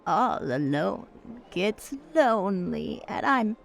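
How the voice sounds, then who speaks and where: Woman as a slow, creaky old noblewoman. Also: mid-range voice, lively intonation, a little hiss or hum. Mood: insistent, flirtatious. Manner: drawn-out, imperious, coaxing. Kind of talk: improvised tabletop roleplay.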